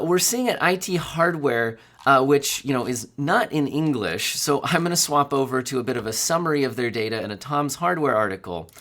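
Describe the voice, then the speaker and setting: A man with a cold-roughened, hoarse voice runs through tech news in an easygoing, conversational way. His pitch sits in the middle range, and he often stops to correct himself or drops in small fillers. He is recorded close on a studio mic in a home studio. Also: a bit bright. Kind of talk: casual news commentary. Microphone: studio condenser mic.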